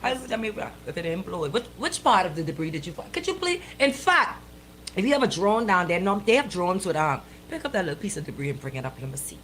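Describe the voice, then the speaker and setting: An animated woman bantering as a radio co-host, with a pitch that swings widely. She is emphatic and opinionated, hammering points home by repeating them in colloquial phrasing. There is slight noise behind her voice. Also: quick pace, rough recording. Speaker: radio talk show co-host.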